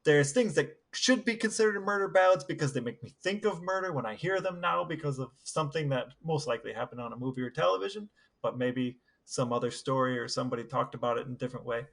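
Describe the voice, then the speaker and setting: A man's voice: mid-range voice, lively intonation, quick pace, vocal fry, fairly bright tone. A man co-hosting a podcast, chatting casually and asking playful questions in a wry, mock-serious way. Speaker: podcast co-host. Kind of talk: casual chat and playful interviewing.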